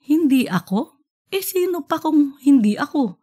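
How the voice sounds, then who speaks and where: A woman's voice: high-pitched, very animated, a little slow, warm tone, pitched above the performer's own voice. A woman voicing a distraught, self-blaming woman professor in an audiobook story. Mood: upset, self-blaming. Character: distraught, guilt-ridden woman professor drinking in a bar.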